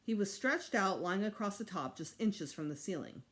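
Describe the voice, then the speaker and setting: Female teacher, expressive children's read-aloud, very varied intonation. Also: medium pitch, moderate pace. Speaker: teacher reading aloud to students.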